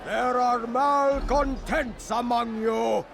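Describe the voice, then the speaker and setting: An actor playing a fanatical, sadistic demagogue in a video game, declaiming pompously like a preacher to the masses. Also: male voice, very high voice, steady tone, slow, vocal fry, exaggerated voice. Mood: zealous fury. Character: fanatical, sadistic male demagogue who craves power over crowds. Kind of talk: theatrical video game villain acting.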